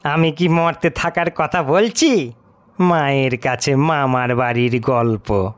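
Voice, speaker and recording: Guy doing a grumpy sarcastic stranger in a bengali audio story, talks slow n scornful, dry sound. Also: medium pitch, dry recording, lightly acted. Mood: curt, scornful. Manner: scolding, sarcastic, contemptuous. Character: irascible cigar-smoking stranger, scornful critic of ghost stories. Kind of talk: audio horror-comedy story acting.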